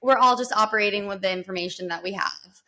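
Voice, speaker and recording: A woman's voice: medium pitch, fast, a crowd in the background. A woman speaking as a guest dog trainer on a podcast, explaining things in an earnest, patient way. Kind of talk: podcast conversation.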